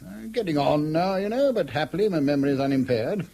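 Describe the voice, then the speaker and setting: An actor playing an elderly, courteous retired army colonel with an upper-class English accent and old-fashioned military phrasing in a radio drama. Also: male voice, on the high side, expressive, quick pace, creaky, dark tone. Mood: courteous, puzzled. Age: older.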